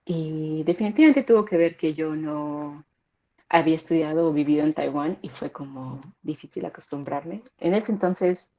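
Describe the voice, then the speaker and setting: Low-voiced young woman, casual and halting. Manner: relaxed, repeats words while searching for them. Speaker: guest sharing learning experience. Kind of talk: casual conversational advice. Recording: dull lo-fi sound.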